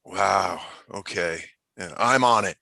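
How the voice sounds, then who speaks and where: A man playing a casual, nosy high school principal in a comedy script read. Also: slow, gravelly, sharp tone, no echo, exaggerated voice. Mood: casual, wry.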